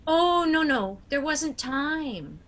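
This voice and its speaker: A woman's voice: high voice, big pitch swings, slow pace, fairly bright tone, exaggerated voice. A woman playing a sweet elderly spinster aunt. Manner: sweet and doting. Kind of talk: amateur audio drama.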